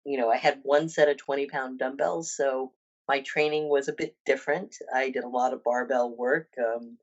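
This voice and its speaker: A woman's voice: very deep voice, steady tone, moderate pace. Fifty-something woman, muffled lo-fi audio. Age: 50s. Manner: rambling, many ums and restarts. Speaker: guest, personal trainer and bodybuilder. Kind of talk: casual podcast interview.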